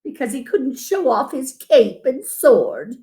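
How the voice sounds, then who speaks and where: A woman's voice: high-pitched, sing-song, takes it slowly, warm tone. A woman reading a picture book aloud to children as a storyteller, with dramatic emphasis and asides to her listeners.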